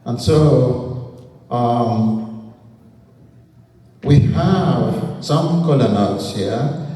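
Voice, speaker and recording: A man emceeing a cultural gathering talks slowly in a mellow, relaxed tone, pausing often. He sounds distant, caught by a far microphone in a big hall with long reverb. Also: mid-range voice. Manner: slow, measured, with pauses and fillers. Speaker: event emcee. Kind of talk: ceremonial speech at a community event. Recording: echoey hall recorded from far off.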